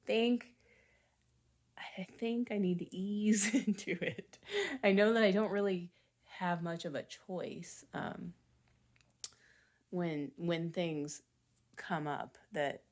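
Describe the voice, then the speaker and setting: A woman in her forties with a raspy voice, chatting in a rambling, casual way as a family vlogger. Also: deep voice, a little mellow. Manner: chatty, rambling, self-deprecating with small laughs. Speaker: vlogger mom. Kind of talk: rambling casual vlog chat.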